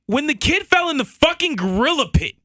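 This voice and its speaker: A man's voice: extremely high pitch, big pitch swings, a little fast, bright, forceful tone, no room sound. A man from the Southern US, co-hosting a radio show and speaking heatedly and emphatically in a studio. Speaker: radio co-host. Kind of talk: impassioned radio talk. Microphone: studio boom mic.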